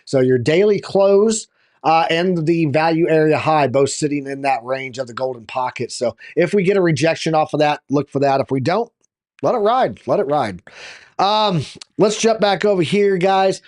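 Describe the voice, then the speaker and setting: A slightly hoarse man hosting a live market show, giving casual chart commentary and addressing viewers informally like buddies. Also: fairly high pitch, normal speed, a little mellow.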